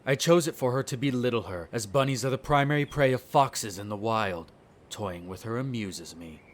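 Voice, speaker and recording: A man's voice: medium pitch, normal speed, natural voice. A man playing a smug, cruel vampire noble in an audio roleplay, speaking in a haughty, condescending, formal drawl. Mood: smug, disdainful. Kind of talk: scripted audio roleplay acting.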